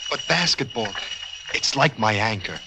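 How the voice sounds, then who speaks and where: An American actor playing a moody, insecure young college basketball player in a mid-century radio drama, earnest and defensive. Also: male voice, on the low side, lively intonation, moderate pace, background noise. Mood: unsure.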